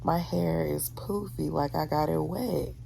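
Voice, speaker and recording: A woman's voice: measured pace, gravelly, slight background noise, low-quality recording. A young woman who sounds nasal and congested from being sick, talking casually and wearily to her camera. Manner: tired, low-key, trailing off quietly. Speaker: vlogger. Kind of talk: casual vlog talk to camera. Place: at home, then at a pharmacy drive-through.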